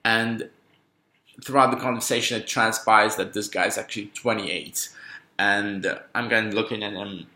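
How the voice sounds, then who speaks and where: A man in his thirties talking through a rambling solo podcast monologue, halting and musing, full of restarts and fillers. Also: on the low side, steady tone, pushed and bright. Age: 30s. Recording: echoey room.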